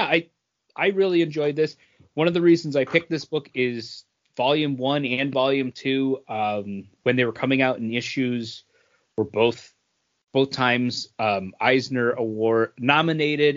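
A man talks through a review in a casual, conversational way as a podcast co-host. His delivery is halting, stopping to find words. His mid-pitched voice comes through a somewhat muffled, lo-fi recording.

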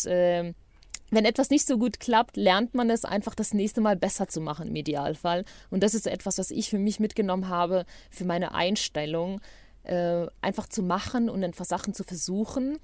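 A woman's voice: lowish voice, normal speed, a little mellow, dry recording. Podcast co-host, woman, non-native German speaker, upbeat and thoughtful, dry close sound. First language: not German. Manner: enthusiastic, reflective. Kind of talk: casual podcast chat.

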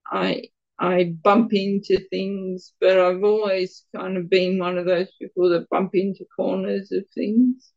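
A woman, a guest on an interview, speaking in a quiet, gravelly voice and stumbling a little over her words. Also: mid-range voice, level tone, unhurried, highs cut off. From Australia. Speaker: guest with Parkinson's. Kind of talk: casual interview answers.

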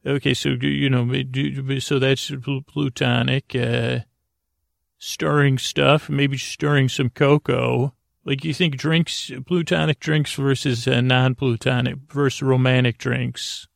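A man hosting a bedtime podcast in a meandering, halting monologue full of whimsical tangents, with a creaky voice. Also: mid-range voice, level tone, no echo, studio quality. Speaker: podcast host.

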